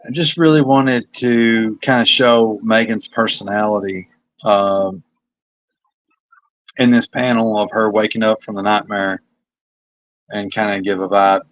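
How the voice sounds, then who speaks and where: A man's voice: on the low side, fairly even intonation, a little slow, pauses often, fairly bright tone, no room sound, muffled recording. A man with a Kentucky accent, a comic artist talking casually and self-critically about his pages on a livestream. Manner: rambling, self-critical, hesitant. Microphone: phone.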